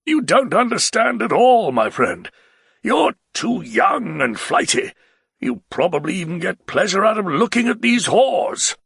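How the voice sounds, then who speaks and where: A narrator puts on a melancholy, blustering nobleman who stretches his words slowly and loudly, his voice raised high above the storyteller's own and rough with gravel. He swings into sudden shouts, heavy with wounded pride, in a dry close recording. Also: very animated, no room sound, heavily acted. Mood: scornful. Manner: loud, drawn-out, exclamatory delivery, sometimes shouting. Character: blustering, melancholy middle-aged male nobleman.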